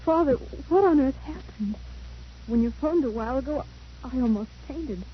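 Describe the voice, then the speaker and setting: A muffled old radio recording with a high young voice. a worried, bewildered daughter in 1940s radio drama style. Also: female voice, expressive, moderate pace. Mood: anxious, relieved. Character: anxious teenage daughter, breathlessly relieved and bewildered.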